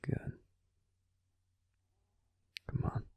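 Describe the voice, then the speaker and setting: A man's voice: drawn-out words, faint music behind. A man voicing a grumpy but tender male werewolf boyfriend in a whispered bedtime audio roleplay, fussing protectively and then soothing. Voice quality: gruff and soft whispering.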